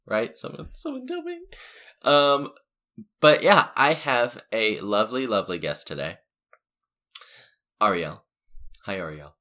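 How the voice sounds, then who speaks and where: A man's voice: mid-range voice, big pitch swings, a little slow, long pauses, sharp tone. A man hosting a podcast in a casual, joking way, with a scratchy throat from the early hour. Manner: laid-back, joking, giggly. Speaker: podcast host.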